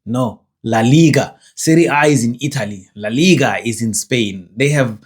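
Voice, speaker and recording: A man's voice: mid-range voice, moderate pace, husky. A young man with a South African accent, talking casually to camera in a rambling, self-correcting way. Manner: digressive, self-correcting, chatty. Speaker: vlogger.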